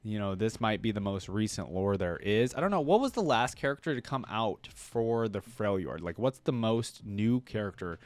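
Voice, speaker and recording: A man with a fairly low voice talks casually to his viewers as a reaction-video host. He muses and rambles like an enthusiastic fan, with plenty of filler phrases. The sound is dry and close, with no room around it. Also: very animated, moderate pace, hardly any pauses, no room sound. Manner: rambling, musing, often hedging with "like" and "you know". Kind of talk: casual chatty commentary to viewers.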